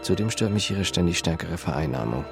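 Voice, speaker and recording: An actor playing an uneasy, selfish young clerk, muttering his private thoughts quietly in a literary radio drama. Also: low-pitched, expressive, brisk, gravelly, music in the background.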